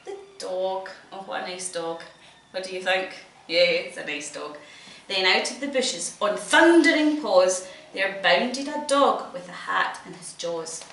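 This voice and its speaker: A woman's voice: mid-range voice, animated, unhurried, a bit bright. A woman with a Scottish accent reading a storybook to a child, encouraging and full of questions. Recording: echoey room, distant mic, noisy background.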